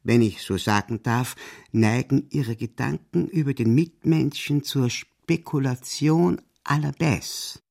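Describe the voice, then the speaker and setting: Husky, suspicious senior diplomat. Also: male voice, mid-range voice, darkish tone. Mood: guarded, formal. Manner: formal, measured, pointed questioning. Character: middle-aged Austrian diplomat, suspicious, formal, probing husband. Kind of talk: literary radio play dialogue and narration.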